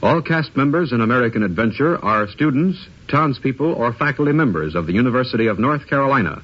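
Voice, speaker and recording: A man's voice: mid-range voice, expressive, fast, husky. A man making formal, scripted announcements in the style of 1950s radio. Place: radio studio. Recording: dull 1950s radio recording.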